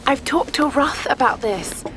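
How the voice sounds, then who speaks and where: A woman's voice: high-pitched, a little slow, a bit bright, a little hiss or hum. A woman playing an earnest, determined young archaeologist in a video game cutscene.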